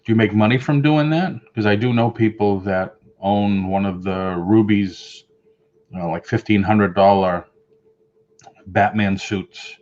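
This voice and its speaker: Middle-aged boston guy rambling on his live stream, lowish voice, kinda dull webcam sound. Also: unhurried, a little mellow. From Boston area. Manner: rambling, chatty, reads and answers viewer comments. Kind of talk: casual live-stream chat. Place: home room with shelves of collectibles.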